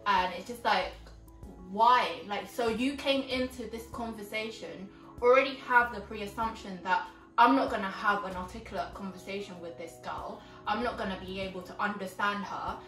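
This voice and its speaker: A woman's voice: medium pitch, moderate pace, fairly bright tone. A young woman from Vietnam co-hosting a casual chat, talking with lots of fillers like um and like. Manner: conversational, many fillers like "um" and "like". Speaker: co-host. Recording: roomy sound, mic at a distance, faint music.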